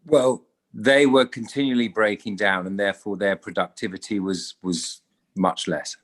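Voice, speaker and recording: A stonewalling company manager acted by a man testifies in a courtroom drama. His voice sits fairly low, and he answers in a guarded, defensive and evasive way. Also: steady tone, normal speed, a bit bright. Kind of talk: scripted courtroom drama table read.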